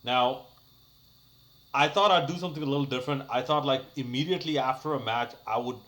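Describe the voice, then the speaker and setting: A man's voice: mid-range voice, brisk, fairly bright tone. A young man talking casually to his channel, giving a rambling review of a cricket match full of fillers and restarts. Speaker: cricket YouTuber.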